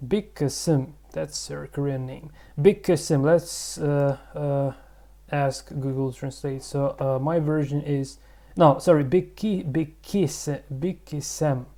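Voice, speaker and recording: Male vlog host, gravelly mellow voice, casual rambling delivery full of fillers. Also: fairly high pitch, steady tone, slow. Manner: rambling, thinking aloud with many fillers. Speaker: channel host.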